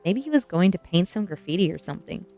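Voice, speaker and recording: A woman voicing a bold, thrill-seeking goth teenage girl with a calm, teasing manner in a storybook reading. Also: on the low side, quick pace, creaky, soft background music. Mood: wry. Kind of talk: storybook dialogue in an ASMR reading.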